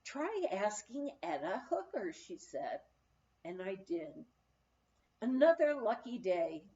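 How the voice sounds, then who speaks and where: A woman reading a memoir aloud as scripted narration, in a measured storytelling manner with deliberate pauses. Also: mid-range voice, big pitch swings, mellow, dull audio. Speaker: audiobook reader.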